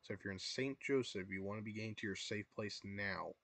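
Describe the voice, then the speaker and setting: A man's voice: lowish voice, a little fast, a bit bright, no room sound. Young man hosting a live weather stream. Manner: repetitive, filler-heavy, urges viewers to take shelter. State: losing his voice. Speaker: livestream weather host. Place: his room at home.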